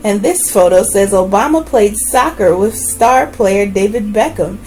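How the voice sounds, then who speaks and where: A woman hosts a children's book read-aloud in a mid-pitched, very animated voice. She phrases deliberately and leans on key words, adding short asides as she goes. Faint music plays behind her. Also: low-quality recording. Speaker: read-aloud host reading a children's biography.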